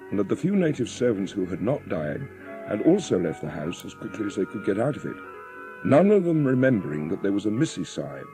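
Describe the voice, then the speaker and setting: Narrator for dramatised audiobook: man, lowish expressive voice, storytelling delivery over faint music. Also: fairly quick.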